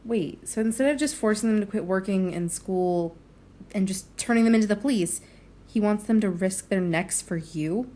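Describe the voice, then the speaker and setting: A woman's voice: medium pitch, moderate pace, mellow, lightly acted. A woman acting a biting, vengeful journalist in an audio drama, cutting and full of relish. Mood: scornful, relishing.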